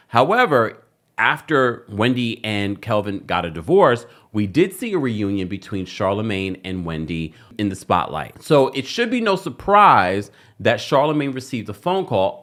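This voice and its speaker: An American man hosting an entertainment news commentary show, speaking with emphatic, deliberate phrasing. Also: on the low side, a bit bright. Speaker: YouTube host, pop culture commentator.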